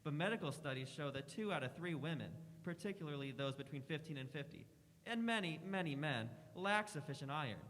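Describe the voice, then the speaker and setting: A man delivers a scripted 1940s-style radio commercial as the announcer. His pitch is a bit high for a man. His voice rings in a hollow space. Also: expressive, fairly quick, a bit bright. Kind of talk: 1940s radio advertisement read. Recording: hollow, reverberant room.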